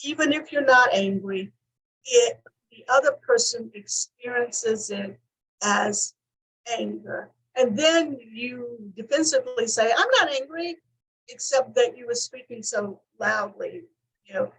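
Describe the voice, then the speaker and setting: A woman counselor on a talk show, explaining points in measured, listed steps. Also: fairly high pitch, expressive, a bit bright, breathy edge, low-quality recording. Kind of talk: teaching talk show discussion.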